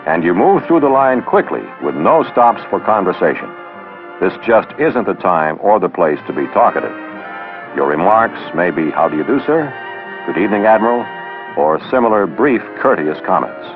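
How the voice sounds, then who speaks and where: A low-voiced man narrates a 1960s training film. He instructs in a lively, rising and falling delivery with dry sarcastic asides. The old soundtrack is dull, with music behind him. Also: normal speed. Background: background music.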